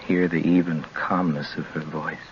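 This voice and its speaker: A man's voice: extremely low pitch, level tone, moderate pace. An actor playing a haunted young man driving alone, in tense 1940s radio drama style that moves between whispers and frantic questions. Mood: wistful. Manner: tense, fraught narration that sinks to whispers and rises to frantic questions. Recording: muffled old radio broadcast with faint hiss.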